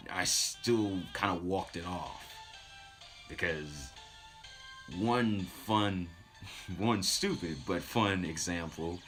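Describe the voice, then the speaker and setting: A man's voice: deep voice, a bit bright. A man casually telling anecdotes as a streamer, in an informal, self-deprecating way.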